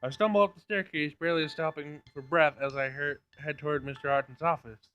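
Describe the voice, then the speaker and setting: A man's voice: on the high side, fairly even intonation, a little fast, bright, forceful tone, smooth. A man reading a visual novel aloud as dramatic narration on a gaming channel, in a screen recording. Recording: dull lo-fi screen recording, game music behind. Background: faint game music.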